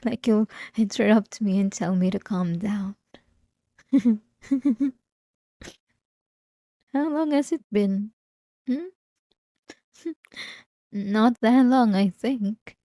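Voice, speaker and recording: A woman's voice: medium pitch, takes it slowly, long pauses, dark tone, dry recording, clean studio sound. A woman playing an unhinged, possessive and obsessive wife in a dramatic ASMR roleplay, intense and swinging between pleading and menace. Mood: unsettled, delusional. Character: unhinged possessive, obsessive young wife confronting her husband.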